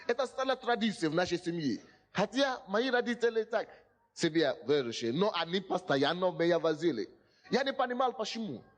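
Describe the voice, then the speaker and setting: A male pastor speaking Russian as a second language, preaching emphatically to a congregation and repeatedly asking whether they hear him. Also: high voice. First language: not Russian.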